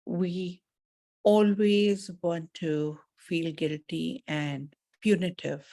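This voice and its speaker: A woman leading a guided meditation and spiritual talk in a measured, soothing manner, pausing often and deliberately between phrases. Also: lowish voice, steady tone, dark tone.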